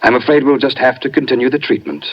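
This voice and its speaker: A middle-aged man playing a sinister gray-haired doctor in a 1950s radio drama, measured, formal and falsely genteel. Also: medium pitch, animated, close to an everyday voice. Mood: condescending menace. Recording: muffled vintage 1950s radio recording.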